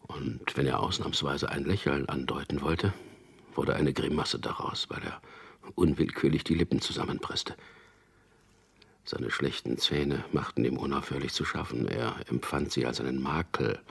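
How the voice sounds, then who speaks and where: An actor playing a wry, weary propaganda copywriter in a German radio drama, speaking in a dry, ironic way. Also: male voice, rumbling low, fairly even intonation, normal speed, a bit bright, exaggerated voice. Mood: wry, resigned. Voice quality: husky, gravelly. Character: wry, weary male propaganda copywriter, narrating his story. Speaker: lead actor and first-person narrator.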